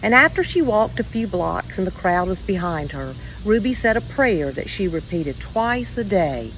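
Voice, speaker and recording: A woman reading a children's story aloud in a measured, expressive way with deliberate pauses. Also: mid-range voice, low-quality recording. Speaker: storybook reader.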